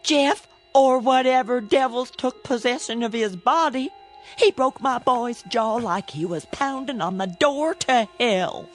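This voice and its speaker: A woman's voice: a little high, measured pace, soft background music, lightly acted. An actress with a rural American accent playing a stern, superstitious, protective middle-aged mother in a radio drama. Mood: indignant.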